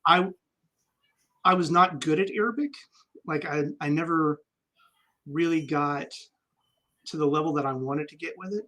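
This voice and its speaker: A man's voice: a little high, moderate pace, pauses often, fairly bright tone, poor audio. A man, an army veteran guest, telling stories candidly and reflectively in a casual interview, with frequent ums.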